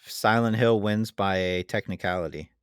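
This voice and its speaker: A man's voice: on the low side, steady tone, normal speed, clear, resonant tone. A man hosting a casual podcast debate in an easygoing, agreeable, chatty way. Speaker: podcast host. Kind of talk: casual chat, moderating a debate.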